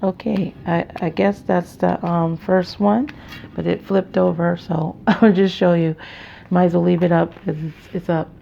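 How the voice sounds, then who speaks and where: A tired woman with a raspy voice, hosting a casual card reading in a halting, chatty, informal way. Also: fairly low pitch, fairly even intonation, slight background noise. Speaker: tarot reader, channel host. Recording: dull, muffled lo-fi sound.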